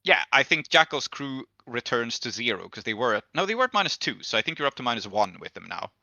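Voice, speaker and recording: A man's voice: mid-range voice, sing-song, quick pace, creaky, pushed and bright, no room sound, muffled recording. A man running a tabletop game as game master, casually talking through his rulings and explaining his reasoning.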